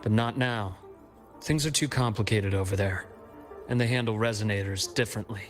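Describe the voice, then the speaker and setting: An actor playing a composed, shrewd investigator in a video game, speaking in a measured, analytical way. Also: male voice, fairly low pitch, soft background music, close to an everyday voice. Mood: measured, cautious. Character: composed, shrewd male investigator sharing intelligence. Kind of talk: scripted video game dialogue.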